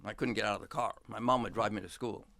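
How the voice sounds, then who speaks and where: An older man from England, a history professor, recalling his life in a relaxed interview with an unhurried, reflective manner. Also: lowish voice, creaky. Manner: unhurried, reflective storytelling with many pauses.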